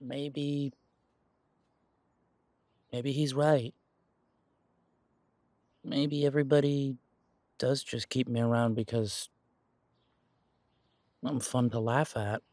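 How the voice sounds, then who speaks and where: A man playing an insecure, defensive werewolf in an audio roleplay, speaking in a halting, stammering way as if confiding in someone close. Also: medium pitch, flat delivery, darkish tone, no room sound. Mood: downcast, self-doubting. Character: insecure pure-blood male werewolf, self-doubting, defensive. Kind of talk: audio roleplay drama, one side of an intimate conversation.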